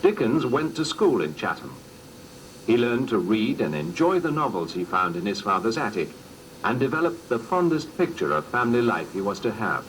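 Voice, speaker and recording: Lively male documentary narrator on noisy tape. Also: lowish voice, normal speed. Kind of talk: scripted narration. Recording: noisy old cassette recording.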